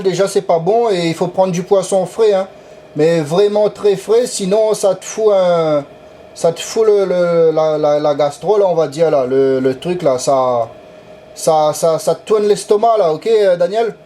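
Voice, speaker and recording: Mellow, highish man halting over the radio. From Réunion. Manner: halting, repeats words. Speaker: amateur radio operator. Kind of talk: casual radio chat. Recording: faintly noisy radio transmission.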